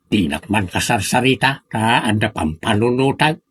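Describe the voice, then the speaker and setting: A man acting a stubborn, bossy old family father in a comedic audio drama, grumbling in an Ilocano accent with a husky voice. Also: fairly low pitch, animated, moderate pace, a bit bright. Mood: grumpy.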